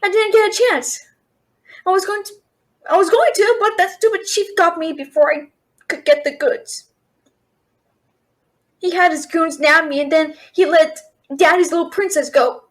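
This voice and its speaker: A young woman playing a cheeky, frightened thief who stammers and bluffs in a fantasy audio roleplay. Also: very high-pitched. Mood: defensive, indignant. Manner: nervous, stammering, fast-talking bluffer. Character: cheeky, scared young female thief, talking her way out.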